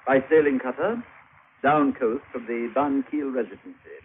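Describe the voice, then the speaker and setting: An actor playing a prim, self-righteous missionary in a 1950s radio drama, speaking in a formal, lecturing manner. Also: male voice, medium pitch, moderate pace, breathy edge, narrow, tinny audio, close to an everyday voice. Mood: haughty, formal. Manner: formal, proper, indignant, lecturing. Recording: thin, faintly noisy vintage radio broadcast.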